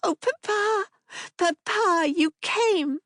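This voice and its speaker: A woman's voice: very high voice, takes it slowly, fairly bright tone, no echo, clean studio sound, voice pushed up, exaggerated voice. A woman voicing a timid, eager seven-year-old girl who speaks in a small, pleading way.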